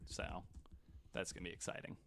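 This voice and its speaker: A man's voice: fairly quick, a bit bright, slight background noise. A man hosting a podcast in casual, rambling chat, often stammering and repeating words. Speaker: podcast host.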